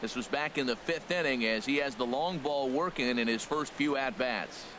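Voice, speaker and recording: A man playing a play-by-play baseball announcer in a video game, with a measured, polished delivery. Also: medium pitch, natural voice. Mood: steady, attentive. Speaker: play-by-play sports commentator. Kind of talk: scripted baseball commentary. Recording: loud stadium crowd noise over voice. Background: baseball stadium crowd in a video game.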